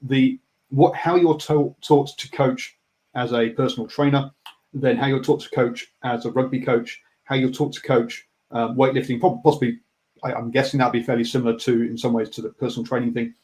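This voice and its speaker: A man's voice: medium pitch, flat delivery, a little fast, a little mellow, poor audio. A man hosting a sports radio interview, stammering through restarts while thinking aloud. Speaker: radio host.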